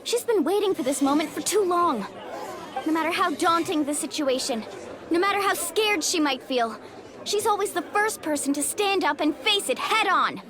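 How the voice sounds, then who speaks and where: A woman's voice: extremely high pitch, lively intonation, moderate pace, a crowd in the background. A woman voicing a loyal, defiant young woman in a video game, earnest and fiery. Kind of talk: game voice acting.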